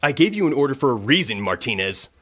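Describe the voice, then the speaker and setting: An actor playing a stern, by-the-book military captain in an audio drama, speaking in a curt, commanding way. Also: male voice, medium pitch, very animated, fast talker, a bit bright. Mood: stern, defensive. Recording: clearly noisy, vehicle ambience behind.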